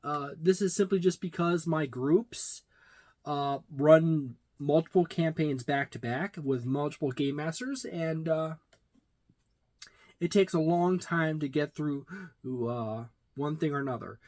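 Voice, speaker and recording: A man in his forties giving a casual, unscripted product review with halting, deliberate phrasing. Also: on the high side, a little mellow. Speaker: tabletop game reviewer. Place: small indoor room lined with shelves of games.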